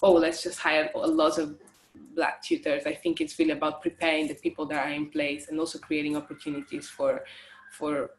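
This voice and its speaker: Young woman, 20s, low-pitched, reflective interview guest; slightly echoey room sound. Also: flat delivery, brisk. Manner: thoughtful, many fillers and restarts. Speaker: guest, design graduate. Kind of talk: conversational interview answer.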